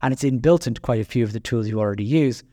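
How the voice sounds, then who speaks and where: A man's voice: medium pitch, rapid-fire, warm tone, no echo, clean studio sound. A man with an Irish accent hosting a solo podcast, chatty and self-deprecating, with frequent fillers and restarts. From Ireland. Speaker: podcast host.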